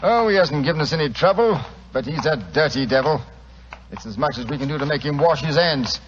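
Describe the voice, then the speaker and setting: An actor playing an affable, plain-spoken British police inspector in a 1940s radio drama, chatty and deferential. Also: male voice, a little high, expressive, brisk, lightly acted. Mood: amused, chatty. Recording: muffled, hissy vintage radio recording.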